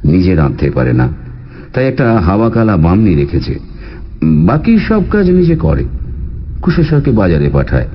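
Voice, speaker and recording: An actor playing a family doctor who confides and explains in a measured way, in a radio drama. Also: male voice, low-pitched, wildly swooping pitch, heavily acted. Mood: confiding, concerned. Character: male family doctor confiding a patient's troubled household. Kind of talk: Bengali radio drama dialogue. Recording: muffled radio audio with faint music.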